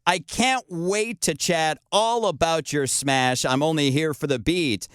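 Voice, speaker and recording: A man's voice: unhurried, smooth, no echo, clean studio sound. A man in his thirties, born in Montreal but with no accent, hosting an upbeat interview and laughing often. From Montreal, Canada. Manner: animated, laughs often, high-pitched laughter. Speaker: podcast host.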